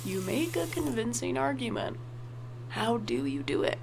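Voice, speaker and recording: A woman's voice: on the high side, sing-song, moderate pace, gravelly, background noise. A woman playing an anxious, sardonic older sibling in an audio drama, with a dry, wry, self-deprecating way of talking. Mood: sardonic, nervous. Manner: dry, wry, theatrical sarcasm. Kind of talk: audio drama acting with first-person narration.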